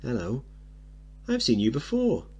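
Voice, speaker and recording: Scruffy young lad played by a man, cheeky and slangy, pitched high, swooping delivery, for a play reading. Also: huge pitch swings, a little slow, darkish tone, husky, full character voice. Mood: cheeky, boastful. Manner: slangy, blunt, eager. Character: scruffy seven-year-old working-class boy, cheeky, boastful, suspicious.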